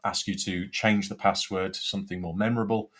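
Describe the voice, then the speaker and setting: Low-voiced man demonstrating software. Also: fairly even intonation, fairly quick. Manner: informal, explanatory, step-by-step. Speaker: product demonstrator. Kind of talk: software tutorial walkthrough.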